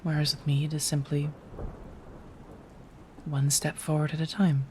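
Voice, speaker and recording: A woman voicing a remorseful, possessive female vampire in an ASMR roleplay monologue, speaking in measured, halting, formal phrases with sighs. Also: sits low, level tone, a little mellow, a little hiss or hum. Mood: measured, reflective.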